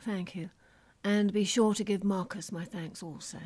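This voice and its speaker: A woman playing a grave, composed prime minister with a soft, husky voice in an audio drama. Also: medium pitch, steady tone, normal speed, natural voice. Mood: troubled, quiet. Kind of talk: radio drama acting.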